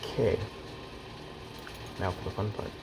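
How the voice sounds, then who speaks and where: A man's voice: unhurried, background noise. A man giving a casual hands-on how-to as an amateur hobbyist, muttering to himself in short fragments as he works. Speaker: hobbyist demonstrating electronics repair.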